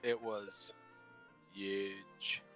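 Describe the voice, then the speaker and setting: A man voicing a teasing, boastful young warrior in an improvised comedic fan dub, terse and deadpan. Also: low voice, extremely slow, faint music behind, exaggerated voice. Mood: insistent.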